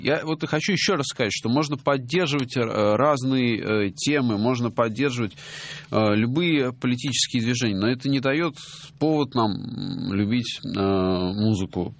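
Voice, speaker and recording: A middle-aged man hosting a music radio show, talking casually and hesitantly, with many drawn-out filler pauses. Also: mid-range voice, dry recording. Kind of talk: casual radio talk reading listener messages.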